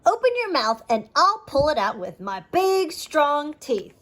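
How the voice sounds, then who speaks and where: Woman voicing an eager high-pitched storybook donkey. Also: big pitch swings, a little slow, fairly bright tone, exaggerated voice. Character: helpful donkey with big strong teeth. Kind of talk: children's storybook character voice.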